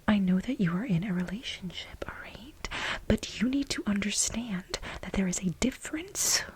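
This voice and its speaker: A woman playing a flustered, lovestruck office assistant in a soft-spoken ASMR role play, breathy and halting, with a dramatic, confiding manner. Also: medium pitch, background noise, close to an everyday voice. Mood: insistent, defensive. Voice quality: breathy, whispery. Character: flustered, lovestruck female office assistant confessing to married boss.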